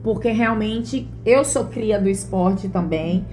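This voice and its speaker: A woman's voice: medium pitch, takes it slowly, dark tone, faint music behind. A woman, a mother answering interview questions in an earnest, thoughtful way with frequent fillers. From Bahia, Brazil. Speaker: interview guest, mother and former gymnast. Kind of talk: relaxed interview conversation.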